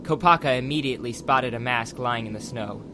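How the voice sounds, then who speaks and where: A man's voice: medium pitch, brisk, sharp tone, slight background noise. A man reading scripted audiobook narration as the narrator of a dramatized story. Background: background music.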